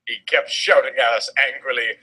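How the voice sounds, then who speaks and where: A man's voice: medium pitch, fairly even intonation, a little fast, sharp tone. A man narrating a fan fiction story as a theatrical, exaggerated comedic dramatic reading. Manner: melodramatic, exaggerated.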